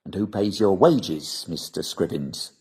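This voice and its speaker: An actor playing a pompous, fussy Victorian gentleman in a period audio drama, speaking formally and grandly. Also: deep voice, lively intonation, measured pace, slightly rough, heavily acted. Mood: self-satisfied.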